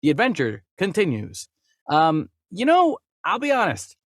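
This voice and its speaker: Male podcast co-host, lively swinging intonation, casual and conversational, close dry sound. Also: high voice, a little slow, no echo. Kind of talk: casual movie news discussion, reading news aloud.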